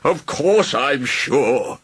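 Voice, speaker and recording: A man's voice: medium pitch, sing-song, unhurried, bright, forceful tone, husky, heavily acted. A man voicing a vain, rude and cowardly huge male dragon in a storybook, blustering and melodramatic. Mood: feeble. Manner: blustering and melodramatic, wailing when wounded. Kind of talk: storybook reading.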